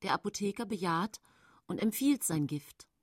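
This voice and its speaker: A woman's voice: mid-range voice, animated, moderate pace, breathy edge, no room sound, studio quality. An actress playing a middle-aged female poisoner who confidingly narrates her own case in a German radio play.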